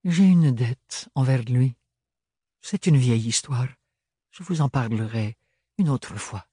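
A man's voice: medium pitch, slow, mellow, breathy edge, dry recording, clean studio sound. A man voicing a tired, elegant noblewoman who speaks in a honeyed, mocking way. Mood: languid. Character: weary elegant noblewoman, sly and languid.

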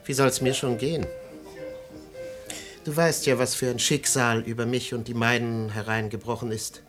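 An actor in a radio play playing a self-pitying, melancholy man who speaks haltingly, with pathos. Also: medium pitch, fairly even intonation, fairly bright tone, soft background music, natural voice. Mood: sorrowful. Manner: halting, sighing, pathos-laden. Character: self-pitying, melancholy son of a disgraced father. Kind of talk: German radio play acting.

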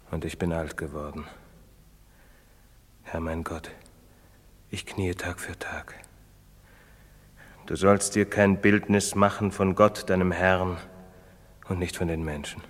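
An actor playing an earnest priest in a stage drama, pleading and moralizing in rhetorical style. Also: male voice, low-pitched, steady tone, slow pace, long pauses, breathy edge, exaggerated voice. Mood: remorseful, subdued. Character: earnest, preaching priest, later old and guilt-ridden. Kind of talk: theatrical stage drama acting. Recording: reverberant theatre stage recording.

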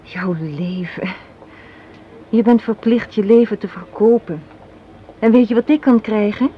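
A woman's voice: medium pitch, measured pace, lightly acted. An actress in a radio play playing an assertive, cynical young woman, arguing in an articulate, sardonic way. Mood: bitter, lecturing. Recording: muffled, hissy old radio play recording.

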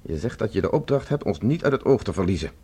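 An actor playing a resolute escaped professor in a science fiction radio play, giving terse orders. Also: male voice, fairly low pitch, big pitch swings, quick pace, dark tone, husky, heavily acted. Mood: firm, commanding. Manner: terse, imperative, gives orders. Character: commanding escaped professor, prisoner on Mars, urgent and resolute.

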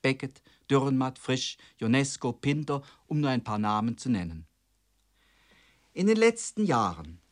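A man delivering a formal scripted radio lecture in the style of the late 1960s, in a measured, emphatic and rhetorical manner. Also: medium pitch. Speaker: head of radio drama department introducing a play.

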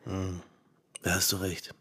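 Husky, gravelly grumbling barman. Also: low-pitched, monotone, takes it slowly, a bit bright, exaggerated voice. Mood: weary, grumbling. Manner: gruff, colloquial. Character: weary, grumbling barman, an ex-boxer. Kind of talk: German radio play dialogue.

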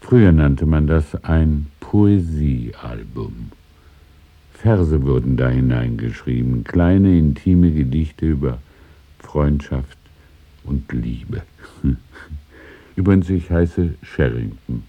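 Very deep, slow old shopkeeper. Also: male voice, expressive, narrow, tinny audio, full character voice. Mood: wistful, polite. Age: older. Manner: halting, polite, confiding, chuckling old-man speech. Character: courteous, wistful old widowed antique shopkeeper. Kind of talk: 1970s German radio drama acting.

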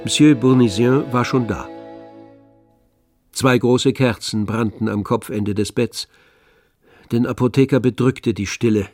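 A man serves as narrator of a literary radio drama. He speaks at a middle pitch, in a measured and grave manner. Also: a little mellow, soft background music.

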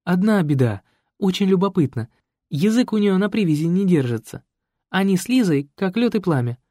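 A man's voice: high-pitched, very animated, normal speed, mellow, no echo, clean studio sound, pitched above the performer's own voice. A man reading a composed, firm young woman, a priest's daughter, telling her story. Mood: reflective. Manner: composed, commanding.

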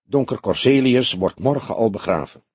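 A man's voice: medium pitch, animated, moderate pace, darkish tone, breathy edge, no echo. A man voicing a timid but easily irritated young male detective, reserved and brief, in an audiobook. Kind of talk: audiobook character dialogue.

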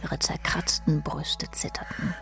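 A woman narrating a literary radio play in a breathy, half-whispered voice. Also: measured pace, music in the background.

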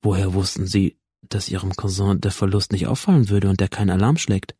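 An actor playing a formal, analytical doctor who insistently questions a troubled patient in a radio drama. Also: male voice, deep voice, steady tone, brisk, warm tone, breathy edge, dry recording, studio quality. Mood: sceptical, probing.

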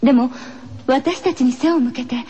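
Woman as ominous horror-story narrator, lowered voice. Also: moderate pace, dark tone, a little hiss or hum, lightly acted. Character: female narrator recounting an eerie ghost tale. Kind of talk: scripted horror radio narration. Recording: dull lo-fi radio recording with music.

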